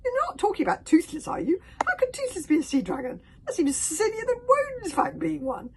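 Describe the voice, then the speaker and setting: A woman voicing a tiny young male dragon, whiny and indignant, who protests loudly with a stammer. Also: very high voice, wildly swooping pitch, fairly quick, voice pushed up, exaggerated voice. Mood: indignant, distressed.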